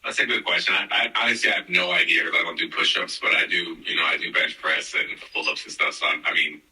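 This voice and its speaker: Male podcast host, thirties, joking and teasing, somewhat low voice, roomy sound. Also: steady tone, quick pace, bright, forceful tone, recorded from a distance. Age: 30s. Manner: joking, teasing, laughs often. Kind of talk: casual podcast chat.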